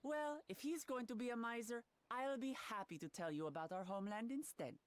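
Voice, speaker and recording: A woman's voice: on the high side, fairly quick, no echo, close to an everyday voice. A woman voicing a spirited young princess in a video game, speaking in an earnest, resolute, plain-spoken way. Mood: exasperated, spirited. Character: earnest, spirited young princess seeking her father's throne. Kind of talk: video game cutscene acting.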